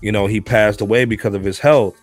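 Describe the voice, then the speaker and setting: A man co-hosting a casual podcast chat over a video call, animated and preachy, tacking "man" onto his sentences. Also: on the low side, a little fast, no room sound, faint music behind. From New York. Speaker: podcast co-host.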